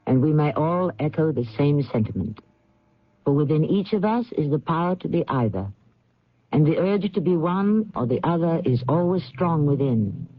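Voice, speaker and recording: Theatrical male radio host, animated higher voice. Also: no echo. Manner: theatrical, deliberate, portentous. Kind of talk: scripted dramatic radio narration. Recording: dull lo-fi old radio recording.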